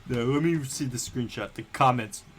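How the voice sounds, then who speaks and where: A man's voice: medium pitch, normal speed, a crowd in the background. A man co-hosting a podcast in casual chat, retelling things in a slangy, sweary, laughing way. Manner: laughs often while talking. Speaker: podcast co-host.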